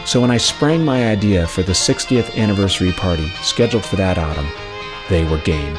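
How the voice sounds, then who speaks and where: A man reading a scripted personal memoir in a measured, reflective storytelling way. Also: sits low. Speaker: narrator of own memoir, former radio station worker. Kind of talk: scripted narration. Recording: music playing behind the voice. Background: plucked acoustic string music.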